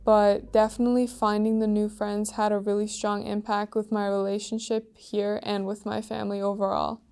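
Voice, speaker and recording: A teenage girl from Iran answers interview questions earnestly and softly, often saying "like" and "um". Her pitch stays very flat, and her tone is mellow and smooth. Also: normal speed. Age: teens. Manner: earnest, soft-spoken, with frequent "like" and "um" fillers.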